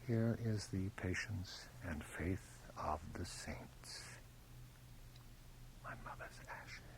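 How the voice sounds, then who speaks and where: A man's voice: lowish voice, darkish tone. An actor playing a bitter, cultivated middle-aged man in a radio drama, theatrical, precise and mocking. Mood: solemn, hushed. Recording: noisy cassette transfer of radio drama.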